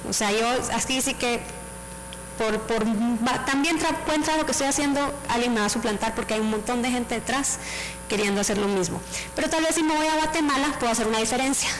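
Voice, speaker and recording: A woman from El Salvador tells her own story on a university panel in a candid, lively way. Her voice is high. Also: brisk, soft background music, poor audio. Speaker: panelist professor.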